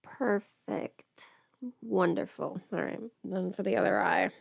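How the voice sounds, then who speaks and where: A woman's voice: fairly low pitch, slow pace, creaky, dry recording, clean studio sound. A woman chatting casually on a livestream while under the weather, thinking aloud as she does her makeup. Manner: chatty, rambling, thinking aloud, sometimes playful. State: sick with a cold or illness. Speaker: livestream host and audio performer.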